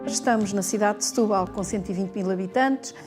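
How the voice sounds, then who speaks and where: A woman's voice: medium pitch, a little fast, over loud music. A woman speaking informally as a local guide, presenting her city.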